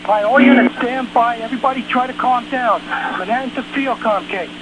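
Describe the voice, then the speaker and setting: High-pitched male dispatcher over noisy radio. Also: fairly quick. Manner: firm, commanding, repeats orders. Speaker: fire department dispatcher. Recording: noisy emergency radio traffic. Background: loud radio noise.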